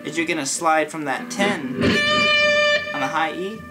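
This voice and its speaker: A man with a sore throat teaching a casual guitar lesson, explaining slowly and step by step in a laid-back way. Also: fairly high pitch, poor audio. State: sore throat from being sick. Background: his own guitar playing.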